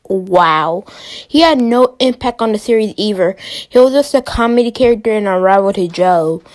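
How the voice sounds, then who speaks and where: A woman with a high voice hosts a ranking video. Her delivery is informal and opinionated, with playfully dramatic touches. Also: a little mellow. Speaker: video host.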